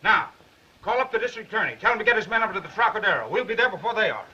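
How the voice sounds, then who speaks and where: A brash young newsman, rattles along in a high, cocky voice. It is old 1930s movie acting on a dull soundtrack. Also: animated, very fast, pushed and bright, breathy edge, full character voice. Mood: cocky, insistent. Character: brash, cocky young newspaper reporter, eager and fast-talking.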